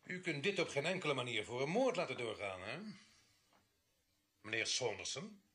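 Een acteur die in een hoorspel een argwanende, sceptische politiebrigadier speelt, kortaf en droog. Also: male voice, mid-range voice, sing-song, moderate pace, natural voice. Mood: stern. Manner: suspicious, curt, dry. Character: wary, sceptical police sergeant holding his ground. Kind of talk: radio play acting.

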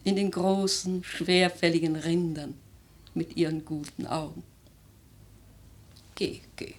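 A woman playing an aristocratic old grandmother in a German radio drama, speaking in a theatrical, measured, lyrical way, worldly and imperious. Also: lowish voice, steady tone, breathy edge, heavily acted. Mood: solemn, fervent. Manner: theatrical, measured, lyrical, with emphatic swells and hushed phrases.

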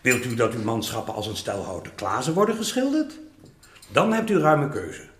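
Proud, theatrical master painter, swooping voice. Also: male voice, mid-range voice, sing-song, moderate pace, a bit bright. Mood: proud, persuasive. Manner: commanding, theatrical, smooth-talking with clients. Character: proud, bossy master painter of old Amsterdam, flattering clients. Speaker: actor. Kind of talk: Dutch radio drama acting. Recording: roomy radio play studio sound.

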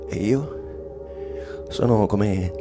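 An actor playing a domineering, probing husband in a dramatic radio reading, with deliberate, insistent, theatrical delivery. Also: male voice, lowish voice, music in the background. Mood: brooding.

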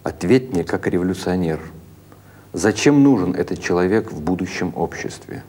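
A man voicing an earnest, doctrinaire young secret-police chairman in an audiobook story, deliberate and insistent. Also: low-pitched, animated, a little mellow. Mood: solemn.

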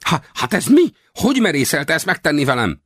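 Man acting out a cranky little old frontier hunter, pitched up. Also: wildly swooping pitch, fairly bright tone, no echo, clean studio sound, heavily acted. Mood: indignant, blustering. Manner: blustering, exclamatory, folksy frontier talk. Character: cranky, proud, scornful little old Wild West hunter.

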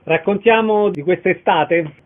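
A man's voice: sits high, a little slow, narrow, tinny audio. A man hosting a radio interview, putting questions and reading out programme announcements. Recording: thin band-limited radio sound.

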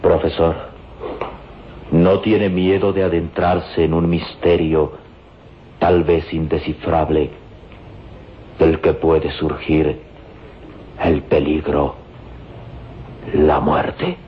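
A man's voice: rumbling low, flat delivery, extremely slow, long pauses, heavily acted. An actor playing an earnest, courteous and anxious young Egyptian man, formal and dramatic, in an old radio drama. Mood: apprehensive. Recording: dull lo-fi old radio recording, hiss.

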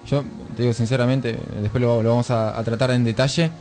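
An Argentine man hosting a casual radio discussion, reflective and often pausing to search for words. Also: mid-range voice, fairly even intonation, darkish tone, faint music behind. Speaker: radio host. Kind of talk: casual chat, political commentary.

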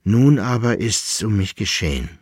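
A man's voice: fairly low pitch, sing-song, normal speed, a little mellow, breathy edge, dry recording, studio quality. A man voicing a proud, blunt young nobleman in an audiobook, with formal old-fashioned phrasing. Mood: ardent.

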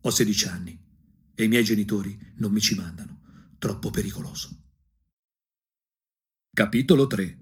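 A man from provincial Tuscany narrating a theatrical radio monologue, husky, dramatic and ironic, dropping into whispers. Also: on the low side, moderate pace, pauses often. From provincial Tuscany, Italy. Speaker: narrator and actor.

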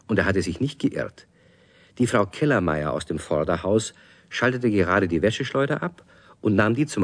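A man's voice: deep voice, exaggerated voice. A man narrating a children's radio play as a scripted storyteller.

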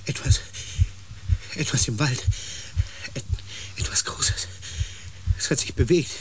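A man's voice: medium pitch, slow, noisy background, close to an everyday voice. A man acting a frightened, unsure planetary surveyor in a radio play, stammering and repeating words as he speaks. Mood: terrified, breathless.

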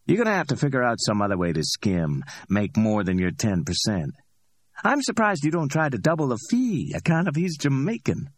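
A man's voice: medium pitch, big pitch swings, no room sound, voice pushed up. A man voicing a cocky middle-aged hustler who teases and drawls his words. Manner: teasing, drawn-out, challenging.

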